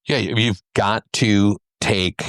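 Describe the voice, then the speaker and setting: A man's voice: on the low side, steady tone, takes it slowly, a bit bright, clear, resonant tone, dry recording, studio quality. A man co-hosting a podcast in casual discussion. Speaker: podcast co-host.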